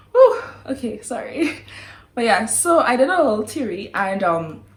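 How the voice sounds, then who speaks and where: A young woman with a Trinidadian accent, chatting casually to camera, giggly, sighing and apologizing as she goes. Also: mid-range voice. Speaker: vlogger. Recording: roomy echo.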